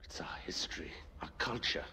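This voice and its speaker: A man's voice: moderate pace, pushed and bright, in a whisper. A man voicing a stern traditionalist rebel leader in a video game cutscene, accusing and emphatic. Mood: indignant, accusing.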